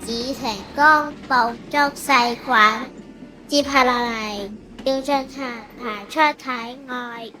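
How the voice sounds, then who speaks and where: A woman's voice: fairly high pitch, steady tone, slow pace, music in the background. A woman with a Hong Kong Cantonese accent narrating a manga story expressively, like a storybook reader.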